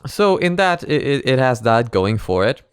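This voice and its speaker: A man's voice: mid-range voice, sing-song, normal speed, clear, resonant tone, no echo, clean studio sound. A man giving casual, unscripted reaction commentary as a video reviewer, speaking hesitantly, rambling and repeating himself. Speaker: video reviewer reacting to an animated parody series.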